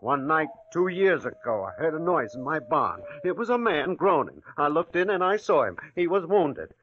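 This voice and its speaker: An actor playing a desperate, greedy small-town killer with excitable, folksy American speech in a 1940s radio drama. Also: male voice, a little high, normal speed, a little hiss or hum. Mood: agitated, greedy. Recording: muffled old radio recording.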